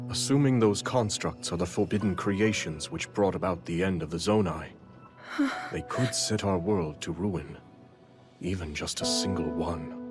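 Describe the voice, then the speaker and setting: Solemn, kindly monarch: low, regal, measured delivery for a fantasy video game. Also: male voice. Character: noble, solemn, kindly king guarding his realm. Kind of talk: video game cutscene acting. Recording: music behind the voice.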